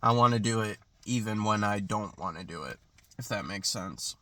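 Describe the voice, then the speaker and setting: A man's voice: fairly low pitch, normal speed, sharp tone, no echo. Congested young man rambling about a dream. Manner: hesitant, rambling, many fillers and restarts. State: congested from allergies, sniffling. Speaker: vlogger recounting a dream. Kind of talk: casual rambling monologue. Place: in a parked car.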